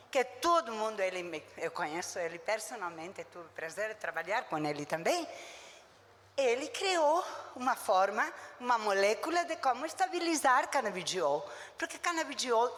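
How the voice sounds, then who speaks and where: A woman's voice: mid-range voice, a bit bright. Emphatic woman lecturing. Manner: emphatic and blunt, with short punchy verdicts. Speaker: physician giving a conference lecture. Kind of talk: conference lecture. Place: conference hall. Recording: long reverb of a lecture hall.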